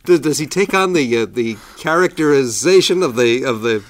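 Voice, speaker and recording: A male radio host with a mid-range voice, chuckling and enthusing as he leads into the next segment. He sounds eager and warmly engaged with his guest. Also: medium pitch, lively intonation, moderate pace, no echo. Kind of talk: radio interview hosting.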